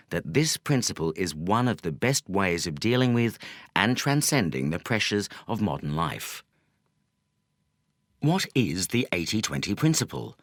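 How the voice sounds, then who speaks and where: A man reading a nonfiction audiobook as a scripted narrator, in measured, deliberate phrases with emphatic stresses. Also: fairly low pitch, no echo, clean studio sound.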